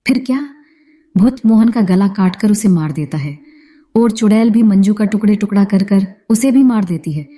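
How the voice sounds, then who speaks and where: Woman storyteller, scripted narration, very mellow dark tone, middle pitch. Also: moderate pace.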